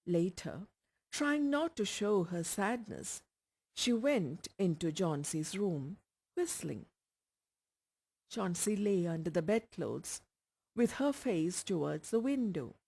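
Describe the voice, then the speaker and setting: A woman with an Indian accent reading a short story aloud, careful and expressive. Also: lowish voice, slow, gravelly, husky. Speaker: story reader. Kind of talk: scripted story narration.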